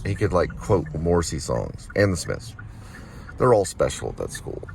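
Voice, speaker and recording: Middle-aged man, low voice, casual rambling vlog talk, reminiscing, vehicle noise behind. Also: very animated, moderate pace, creaky, darkish tone, poor audio. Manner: wandering, reminiscing, spells things out. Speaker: vlogger and amateur playwright.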